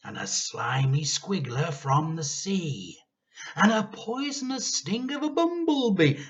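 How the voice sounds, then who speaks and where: Casting: male reader as mischievous young storybook boy, defiant and gleeful, swooping emphatic lines, slightly dull recording. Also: mid-range voice. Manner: excited, emphatic, chanting delivery. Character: mischievous, defiant eight-year-old boy plotting revenge. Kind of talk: dramatised children's storybook reading.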